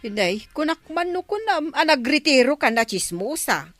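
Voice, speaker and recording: Lively, nosy village woman. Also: high-pitched, moderate pace, over music. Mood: teasing. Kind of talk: Ilocano radio comedy drama acting.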